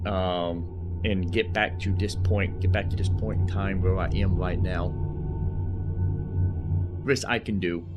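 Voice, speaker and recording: A man's voice: low voice, fairly even intonation, takes it slowly, pauses often, lo-fi sound, over loud music. A man giving casual, rambling gameplay commentary as a live streamer, often repeating himself in an easygoing way. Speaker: live streamer playing a video game.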